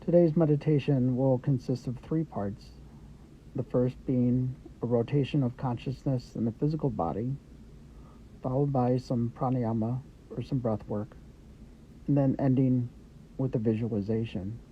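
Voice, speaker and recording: A man guiding a meditation with gentle, unhurried instructions in short phrases with pauses between them. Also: mid-range voice, fairly even intonation. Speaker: meditation guide. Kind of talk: guided meditation, Yoga Nidra. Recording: dull lo-fi audio with background noise.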